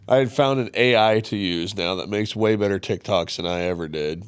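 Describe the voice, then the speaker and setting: A man's voice: fairly low pitch, moderate pace, clear, resonant tone. A man co-hosting a casual podcast, throwing in short, dry quips. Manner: quippy, dry interjections. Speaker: podcast co-host.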